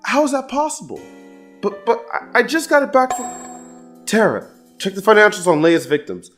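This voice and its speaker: A man's voice: high-pitched, expressive, normal speed, a bit bright, soft background music. A man playing an incredulous, wry hero who investigates crimes in an audio drama, exclaiming and questioning expressively. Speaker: voice actor.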